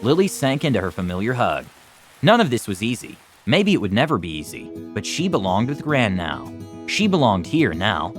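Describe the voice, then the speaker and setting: A man's voice: fairly low pitch, very animated, moderate pace, music in the background. A man narrating a children's storybook expressively, as a storytime reader. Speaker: storytime reader, music club teacher.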